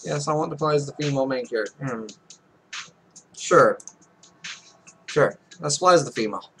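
A man's voice: medium pitch, normal speed, sharp tone, soft background music. A man in his twenties casually commentating a game and reading its text aloud, with rambling, offhand asides. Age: 20s. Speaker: let's play host. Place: small home room, indoors. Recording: noisy home recording.

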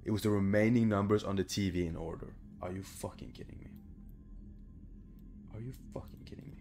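Low, creaky young man gaming. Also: a little fast, music in the background. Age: young adult. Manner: sweary, informal. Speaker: gaming video creator. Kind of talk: casual gameplay commentary. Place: small bedroom, indoors.

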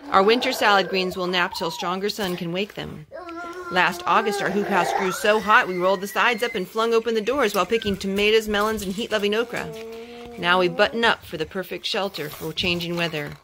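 Young mom type woman reading a kids book out loud at home, careful phrasing, normal pitch, noisy homemade recording w clicks. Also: fairly quick. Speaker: storytime host reading to her children. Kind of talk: children's picture book read-aloud. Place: carpeted room at home. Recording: clear background noise and clicks, homemade.

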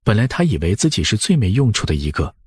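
A man reads scripted audiobook narration in a husky voice of medium pitch. His intonation moves widely, and the sound is dry and close. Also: sing-song, fast, darkish tone, no echo.

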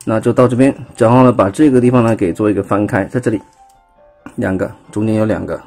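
A man with a Taiwanese Mandarin accent talks through a toy's transformation, pointing out each part as he goes and leaning on filler words. His voice is mellow and husky. He is recorded on a phone at an indoor table, with faint music underneath. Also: on the low side, steady tone, quick pace. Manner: explanatory, filler-heavy, pointing out parts step by step. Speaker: toy reviewer. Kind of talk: casual hands-on toy demonstration.

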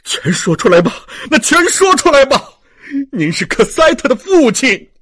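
A man voicing an excitable, earnest young bridegroom in an audiobook, exclaiming with strong emotion. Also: extremely high pitch, moderate pace, no room sound, studio quality, voice pushed up, full character voice. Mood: agitated, demanding.

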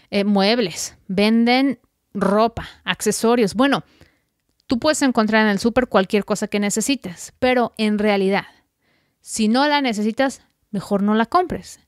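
Podcast host, woman, bright higher voice, dry close mic, chatty tips. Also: no echo. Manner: friendly, chatty, direct second-person tips. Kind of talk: casual advice podcast monologue.

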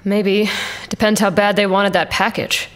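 A woman's voice: medium pitch, little pitch movement, unhurried, natural voice. A woman playing a skeptical combat pilot in a science-fiction audio drama, sardonic and teasing in manner. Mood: dry.

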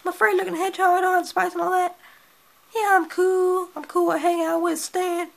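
A small, friendly hedgehog voiced by a performer with an extremely high, squeaky voice that has a gravelly edge. He rambles and stumbles over his words and keeps restarting his sentences. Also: level tone, brisk. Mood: cheerful. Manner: squeaky, rambling, fumbling speech.

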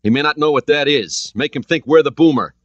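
A man voicing a crisp male American submarine officer giving brisk commands. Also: on the high side, no room sound, dull audio, voice pushed up, lightly acted. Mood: commanding.

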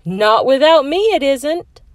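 A woman's voice: high voice, very animated, takes it slowly, no room sound, studio quality, recorded from a distance, voice pushed up, heavily acted. A woman voicing a cheerful, playful mother with a lilting delivery in a storybook.

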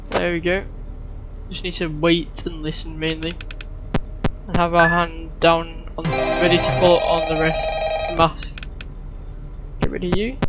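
A man's voice: fairly high pitch, very noisy. A man giving casual gameplay commentary, rambling and thinking aloud as he plays. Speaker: gaming video host.

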